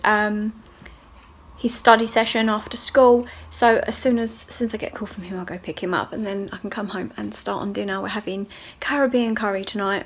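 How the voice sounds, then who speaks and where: A woman, a hairdresser chatting casually to her viewers in a video diary, rambling from one thing to the next. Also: mid-range voice. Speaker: vlogger and hairdresser.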